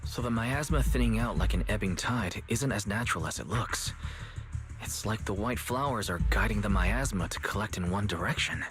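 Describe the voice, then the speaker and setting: An earnest, observant young man as acted by a man in a video game, with a fairly low voice. He talks politely and in measured phrases, with clear music behind him. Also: natural voice. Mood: concerned, thoughtful. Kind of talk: scripted video game voice acting.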